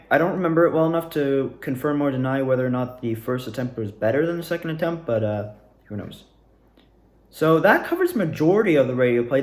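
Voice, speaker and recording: A young adult man with a mid-pitched voice talks in a casual, rambling way, as if recounting things to viewers. His delivery is animated and informal, and the sound is roomy and echoey. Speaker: amateur student actor reviewing own performances. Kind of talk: casual rambling recap, self-interview.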